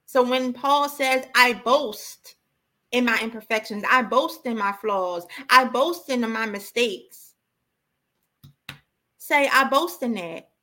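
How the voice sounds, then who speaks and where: A woman's voice: a little high, slow, bright, forceful tone. A woman giving an impassioned, informal sermon as a preacher, exhorting emphatically and repeating key phrases.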